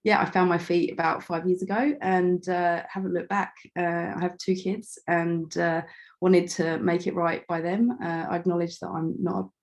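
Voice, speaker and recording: A middle-aged woman speaks in a fairly low, slightly croaky voice. She is chatty and informal, modest about herself, and often pauses to find the next phrase as she presents as a guest on an online call. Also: level tone. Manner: chatty, self-deprecating, hesitant phrasing. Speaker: start-up founder and guest speaker. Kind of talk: informal online talk about own background.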